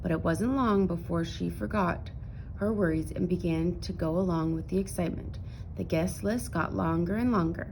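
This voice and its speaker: A woman narrating a children's picture book aloud in an expressive storytelling style. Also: lowish voice, moderate pace, creaky, a little hiss or hum, poor audio.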